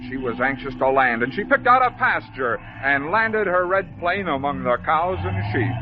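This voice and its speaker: An American man narrating a scripted story for children as a 1950s radio host, phrasing it clearly and dramatically. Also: on the high side, sing-song, normal speed. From American Midwest. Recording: dull, noisy vintage 1950s radio recording.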